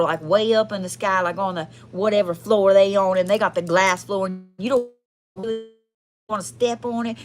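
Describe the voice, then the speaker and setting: A woman's voice: medium pitch, a little hiss or hum, natural voice. A woman playing a brassy, foul-mouthed but kindhearted trailer park fortune teller with a Southern US accent, chatting folksily through a live card reading. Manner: folksy, sweary, chatty, calls listeners honey and y'all. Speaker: livestream tarot reader. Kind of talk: casual comic live card reading in persona.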